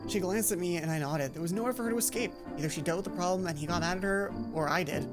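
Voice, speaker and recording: A woman's voice: lowish voice, rapid-fire. Woman narrating a visual novel over music. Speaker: let's play host. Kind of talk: reading a visual novel aloud with casual asides. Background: game music.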